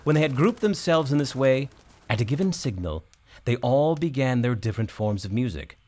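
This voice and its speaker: Expressive male storyteller with lively pitch. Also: normal speed. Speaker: storyteller, narrator. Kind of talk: scripted storybook narration.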